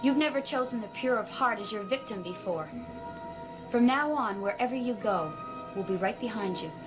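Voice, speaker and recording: Woman playing a high-voiced, righteous young guardian. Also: expressive, normal speed, over music, close to an everyday voice, rough recording. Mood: confrontational. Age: young adult. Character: calm, righteous young woman, secret guardian against evil. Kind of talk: 1960s gothic soap opera acting.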